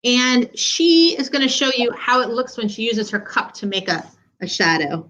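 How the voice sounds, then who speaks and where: A woman's voice: a little high, sing-song, moderate pace, a bit bright, muffled recording. A woman speaking as a guest on a video call, casually showing and explaining things. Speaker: workshop guest. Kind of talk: casual show-and-tell.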